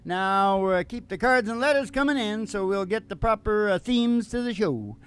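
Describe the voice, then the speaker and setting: A man's voice: sits high, expressive, moderate pace, smooth. A man hosting a country music and comedy show, with folksy, playful, rambling banter and acoustic country singing. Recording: live guitar accompaniment, loud music. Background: acoustic guitar.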